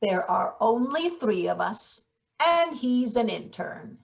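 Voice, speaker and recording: A woman's voice: on the high side, very animated, gravelly, full character voice. A woman playing a hot-tempered, scheming maintenance worker in a play reading, sardonic and placating by turns. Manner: sardonic, placating, quick to snipe. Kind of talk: live table read of satirical stage play.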